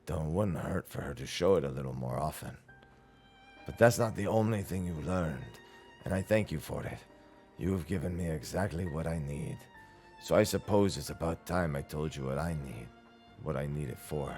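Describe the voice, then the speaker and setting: Man doing a deep-voiced aging craftsman. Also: animated, darkish tone, faint music behind. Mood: grudgingly grateful. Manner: measured, formal, earnest phrasing. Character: gruff but fond older craftsman nearing retirement. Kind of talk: video game dialogue read aloud in character.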